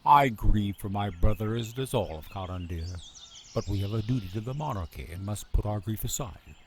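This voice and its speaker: A man's voice: fairly low pitch, expressive, moderate pace, a little hiss or hum, lightly acted. Man playing a distant-sounding, arrogant scheming young prince. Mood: haughty. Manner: imperious, menacing, grandly declaiming. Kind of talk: radio drama acting.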